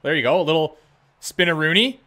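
Young man, bright-voiced gaming commentator. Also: a little high, brisk. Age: young adult. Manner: playful, self-deprecating, rambling asides. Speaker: gaming video host playing a hockey game. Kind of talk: casual gameplay commentary with running reactions. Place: indoors at a home gaming setup. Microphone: close desk microphone, wearing headset.

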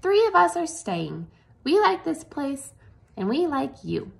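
A woman's voice: high-pitched, sing-song, a little slow, gravelly, voice pushed up, heavily acted. A woman voicing tiny, cheerful baby spiders in a storybook read-aloud, with a small, sing-song voice.

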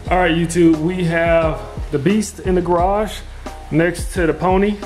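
A man's voice: sits high, fairly even intonation, a little slow, darkish tone, soft background music. Rambling man filming a truck install. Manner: rambling, self-correcting, filler-heavy. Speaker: DIY vlogger installing a CB radio. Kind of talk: casual how-to narration while working. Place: inside a pickup truck cab and garage.

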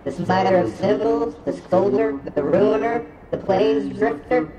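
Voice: mid-range voice, a little slow, over a noisy crowd, close to an everyday voice, low-quality recording. An actor voicing a small, irritable, sardonic sheet ghost in a radio play. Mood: sardonic, grandiose. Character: grumpy foul-mouthed small sheet ghost being, weary trickster.

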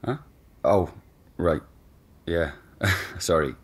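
A casual young man talks in a very low, easygoing voice. He is wry and a bit tactless, tossing off jokes in an audio drama. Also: extremely slow, slightly rough, full character voice. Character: young man, offhand joking former flatmate.

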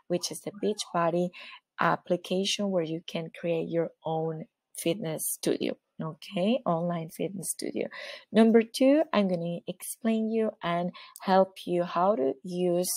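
A woman's voice: on the low side, darkish tone, no room sound. A woman from Venezuela whose first language is Spanish, speaking English with a Venezuelan accent as a fitness coach presenting slides, encouraging and often checking in with "okay?". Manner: encouraging, repeats "okay?", self-corrects.

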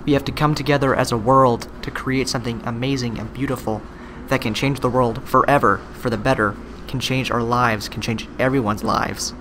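A man's voice: mid-range voice, fairly even intonation, fairly quick. A teenage boy giving an earnest motivational speech about working together. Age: teens. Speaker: student speaker. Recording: noisy recording.

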